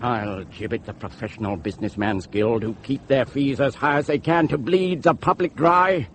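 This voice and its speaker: An actor playing a fiery, defiant pamphleteer, declaiming with scorn and suspicion in a theatrical drama style. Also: male voice, mid-range voice, moderate pace, a little hiss or hum, natural voice. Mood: bitter, scornful. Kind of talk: theatrical dramatic monologue and dialogue.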